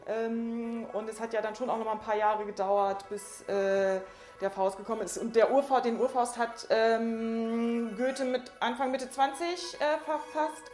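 A woman teaching a school German class in a classroom, speaking hesitantly and haltingly. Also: on the high side, steady tone, a little mellow, soft background music. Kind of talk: classroom lesson. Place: echoing classroom. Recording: echoey room.

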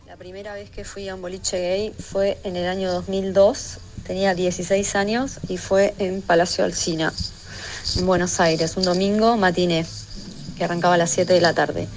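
A woman's voice: lowish voice, level tone, moderate pace, faint music behind. A woman in her thirties with an Argentine accent telling a personal anecdote in a recorded voice message. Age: 30s. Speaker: listener sending an audio message to a radio show. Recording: noisy voice message with background ambience.

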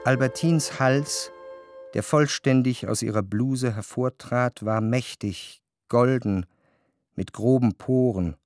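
A male actor as the reflective first-person narrator of a literary radio drama, speaking in a measured way. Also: medium pitch, darkish tone. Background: faint music.

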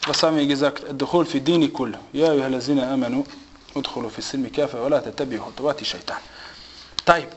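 A man's voice: medium pitch, rapid-fire, noisy background. A man preaching to a small group in an informal sermon, telling an anecdote and mixing in Arabic phrases. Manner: storytelling, lively, mixes in Arabic phrases. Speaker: preacher giving a religious lesson. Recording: dull lo-fi recording.

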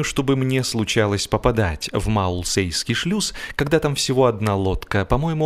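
A man reading a humorous story as an audiobook narrator, in an expressive, measured storytelling manner. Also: on the low side. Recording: music behind the voice. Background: instrumental music.

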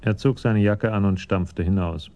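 A man's voice: fairly low pitch, normal speed, darkish tone, highs cut off, slight background noise, close to an everyday voice. A man giving sober scripted narration as the narrator of a German radio crime story.

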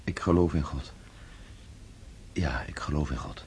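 A man's voice: rumbling low, lively intonation, measured pace, vocal fry, a little mellow, husky, full character voice. An actor playing an earnest, hesitant young count in a radio drama, speaking formally as he seeks to reform himself. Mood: earnest, uncertain. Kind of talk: radio drama acting and first-person narration.

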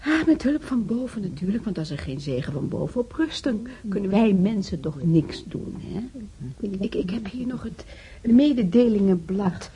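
A pious married woman on a church visit, acted by an actress in a radio drama. Her voice is mellow and mid-pitched, and she is earnest and fussy, doubling back on her words with good intentions. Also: animated, moderate pace, natural voice. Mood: earnest, solicitous. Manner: earnest, fussy, repeats herself. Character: pious, well-meaning married church visitor, Mrs de Wilde.

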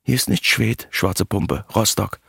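An actor playing a gruff, exasperated building site boss in a radio play, emphatic and sardonic. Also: male voice, fairly low pitch, a little slow, dry recording, studio quality, exaggerated voice. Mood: grim, determined. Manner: emphatic, sardonic, swinging from mutter to outburst. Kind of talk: radio play acting over music. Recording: loud music behind the voice.

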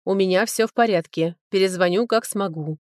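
A woman reading the lines of a calm, polite male caregiver in an audiobook novel, soft-spoken and reassuring. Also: medium pitch, a little fast, no echo, clean studio sound, lightly acted. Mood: calm, helpful.

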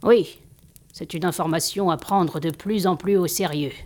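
A woman playing a kindly old master and healer in an audio drama, attentive and gently authoritative. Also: on the low side, unhurried, natural voice. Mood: grave, concerned.

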